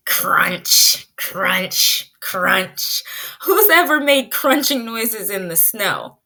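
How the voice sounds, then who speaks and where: A woman teaching pre-kindergarten children in an animated, child-directed way, asking questions as she leads a read-aloud. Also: mid-range voice, extremely slow, vocal fry.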